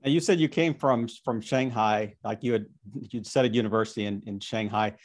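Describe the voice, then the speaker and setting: A man's voice: fairly low pitch, a little fast. A man hosting a podcast interview, rambling with repeated words and restarts. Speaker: podcast host.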